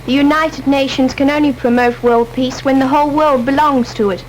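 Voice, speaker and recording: A teenage girl debates with confidence, pressing opponents with pointed questions. Her voice is fairly high. A faint hiss runs underneath. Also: steady tone, normal speed, dull audio. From Australia. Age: teens. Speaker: champion student debater. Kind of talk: panel debate.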